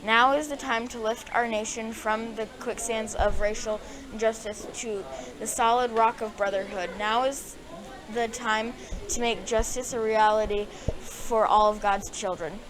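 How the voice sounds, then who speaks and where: Casting: female student with a slightly high voice, reading oratory aloud. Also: steady tone, a little slow, a crowd in the background, poor audio. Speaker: student reader.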